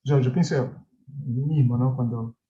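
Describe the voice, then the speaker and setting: A man, a professor being interviewed, talking reflectively and hesitantly, with many pauses and restarts, over a video call. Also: medium pitch, fairly even intonation, mellow. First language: Spanish. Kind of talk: reflective academic conversation. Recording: dull lo-fi video call audio.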